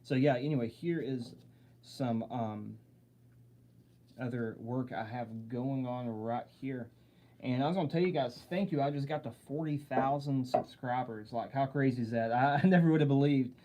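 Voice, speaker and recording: Man, Southern US accent, young adult, mellow voice, earnest, rambling, live Q&A host. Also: medium pitch, normal speed. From southern United States. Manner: rambling, earnest, repeats himself, friendly address. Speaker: wood carving channel host. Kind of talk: live-stream Q&A chat. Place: home workshop with lights.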